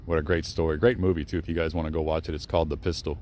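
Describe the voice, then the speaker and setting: A man's voice: very deep voice, level tone, rapid-fire, a little hiss or hum. A young man vlogging outdoors in a cemetery, talking respectfully in a rambling way with many fillers and self-corrections. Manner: respectful, hushed. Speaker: travel vlogger. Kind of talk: casual vlog monologue at a graveside.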